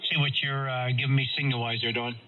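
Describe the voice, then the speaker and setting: A man's voice: medium pitch, little pitch movement, quick pace. Man on a muffled ham radio. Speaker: amateur radio operator. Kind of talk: casual ham radio chat. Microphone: heard over amateur radio. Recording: muffled amateur radio transmission with noise.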